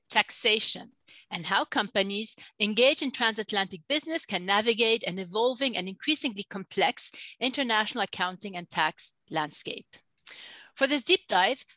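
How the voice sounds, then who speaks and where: A woman's voice: a little high, pushed and bright, no room sound. A woman, director of a business organization, reading a formal scripted introduction. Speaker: chamber of commerce director.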